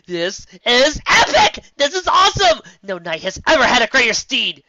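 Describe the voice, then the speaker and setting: Young unicorn mare, read by a narrator, high, loud and thrilled, dry dull recording. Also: moderate pace, no room sound, heavily acted. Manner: gushing and shouting with excitement. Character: excitable young female unicorn pony.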